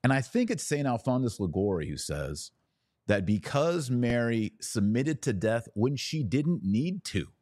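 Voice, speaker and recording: A man hosting a podcast, giving a devotional theology talk with emphatic, deliberate phrasing and pauses for effect. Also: medium pitch, very animated, darkish tone, dry recording, studio quality.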